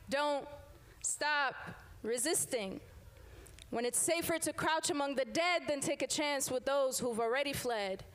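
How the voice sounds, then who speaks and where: A woman's voice: sits high, fairly bright tone. Young woman performing spoken word poetry. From Sudan. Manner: rhythmic, repeating a refrain with emphatic pauses. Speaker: poet and teacher. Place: live event room with an audience.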